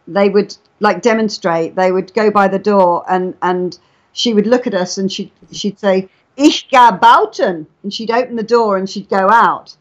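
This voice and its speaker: A woman chatting casually on a live stream, telling stories and explaining things in a chatty way. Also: medium pitch. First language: English. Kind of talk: casual live chat, storytelling. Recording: dull lo-fi internet chat audio.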